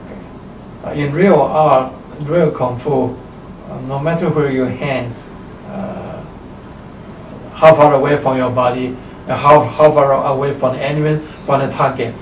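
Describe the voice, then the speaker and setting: A man in his seventies speaks with a Taiwanese accent and a medium pitch. His English is halting and emphatic, with short phrases repeated for weight. The recording is roomy and distant, with faint noise underneath. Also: fairly even intonation. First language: Chinese. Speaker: kung fu teacher being interviewed. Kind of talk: opinionated interview talk.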